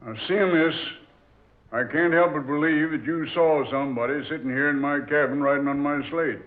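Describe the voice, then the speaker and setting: An actor playing a stern, commanding ship captain who gives gruff orders, in 1940s radio acting style. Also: male voice, a little high, fairly even intonation, normal speed, natural voice. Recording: tinny old radio recording.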